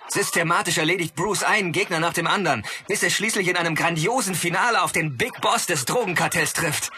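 Bright-voiced male film fan. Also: high-pitched, a little fast, soft background music. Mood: excited. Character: enthusiastic film fan retelling martial arts movies. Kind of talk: radio play film commentary.

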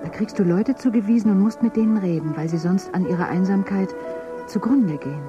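A woman's voice: medium pitch, fairly quick, dull audio, over music, natural voice. An actress playing a troubled woman who works as a paid conversation companion in a dystopian radio drama, talking compulsively. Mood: distraught, confessing. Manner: compulsive, rambling talk. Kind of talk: German radio drama acting.

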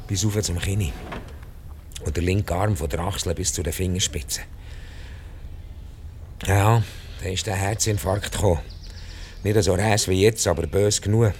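A deep-voiced old Swiss man, rich, ruthless and ailing. He confesses in a halting, blunt, world-weary way, short of breath, over clearly noisy ambience in a radio play. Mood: pained, confessing. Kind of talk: radio drama acting in Swiss-coloured German.

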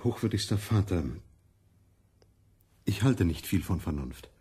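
An actor playing an earnest, stubborn and anxious monk in a radio play, insistent and plain-spoken. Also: male voice, sits low, expressive, a little slow, mellow, husky, full character voice.

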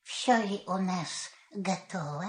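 A man's voice: high-pitched, takes it slowly. An actor playing an ominous sorcerer, drawing out his portentous words in a fairy-tale audio play. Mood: foreboding. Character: ominous sorcerer warning of a monster's awakening. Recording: echoey, distant room.

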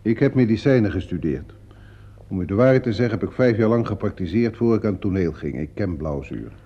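Brusque, self-assured showman, radio play. Curt and sardonic delivery, lively intonation, muffled vintage sound. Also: fairly low pitch, brisk. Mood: irritated, curt. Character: brusque, defensive theatre producer, self-assured, impatient man. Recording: dull, muffled old radio recording.

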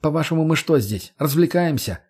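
A man's voice: a little high, lively intonation, fairly quick, a little mellow, slightly rough, pitched above the performer's own voice. A man playing an irritable, pedantic, weary gang boss in an audiobook, dry and sarcastic. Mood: irritated.

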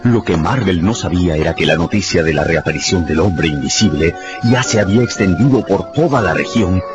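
Low male narrator, dramatic radio story reading, lively delivery, bit muffled w music behind. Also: brisk. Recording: slightly dull sound, music behind.

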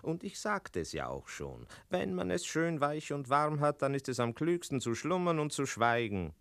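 A sly, comfort-loving tomcat talks in a radio play. The voice is bright and very animated, recorded dry and close. The cat comments with drowsy wisdom and drops in meows. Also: male voice, on the high side, no echo. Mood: drowsy, knowing. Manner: unhurried commentary punctuated with meows. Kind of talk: German radio play acting.

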